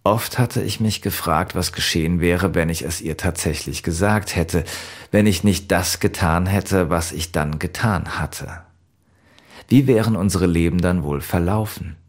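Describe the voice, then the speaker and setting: A man narrating a first-person story from a script in a measured, wistful way. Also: sits low. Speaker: audiobook narrator.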